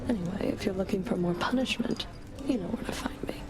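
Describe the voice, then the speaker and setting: An actress playing a cold, menacing Fury guarding the underworld in a video game, taunting and disdainful. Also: female voice, mid-range voice, brisk, natural voice. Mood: cool, disdainful.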